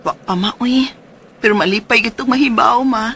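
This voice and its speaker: A woman's voice: on the high side, normal speed, fairly bright tone, slight background noise. An actress with a Cebuano Filipino accent playing a hopeful, eager widowed mother of two in a radio drama. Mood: confident.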